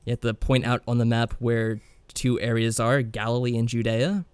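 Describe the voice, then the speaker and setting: Male podcast co-host, wry and tongue-in-cheek, casual recap delivery, mid-pitched, very dry close recording. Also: fairly even intonation, moderate pace, darkish tone, clean tone, dry recording. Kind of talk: casual discussion and game recap.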